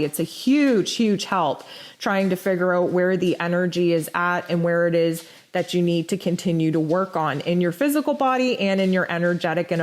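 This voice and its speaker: A woman with a hoarse, strained voice giving a blunt, animated spiritual forecast as host of her own channel. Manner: blunt, animated, pitch jumps high for emphasis. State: hoarse, nearly lost voice. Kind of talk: casual spoken forecast.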